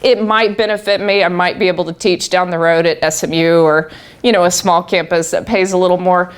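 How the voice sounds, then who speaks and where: A woman's voice: lowish voice, fairly even intonation, fairly quick, clean tone. A middle-aged woman telling a group her own story, laughing often and restarting her sentences. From Texas. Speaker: guest speaker. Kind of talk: personal talk to a live group.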